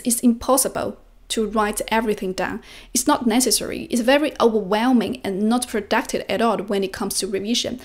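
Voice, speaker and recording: A young woman whose first language is Chinese hosts an instructional vlog. Her voice sits on the high side. She speaks to viewers into a close desk microphone in a room at home. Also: a little mellow. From China. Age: young adult. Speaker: language-learning video host. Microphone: close desk microphone with pop filter.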